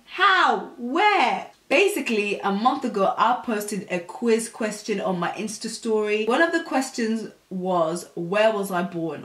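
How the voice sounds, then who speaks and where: A woman's voice: mid-range voice, a bit bright, roomy sound. A young woman, chatting casually to camera as a vlogger. She talks in slang with lots of fillers.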